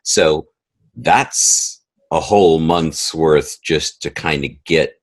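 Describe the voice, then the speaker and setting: A man's voice: very deep voice, slow. A man answering as a guest in a conversational interview, explaining his creative work. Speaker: guest, audio drama creator and composer.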